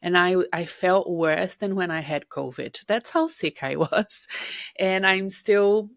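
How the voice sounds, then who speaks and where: A woman hosts a solo podcast and speaks warmly to her listeners as a career coach. Her voice is low and gravelly, husky from being sick. She is recorded close in a dry room with no echo. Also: takes it slowly. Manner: chatty, personal, addresses listener directly. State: husky from recent illness.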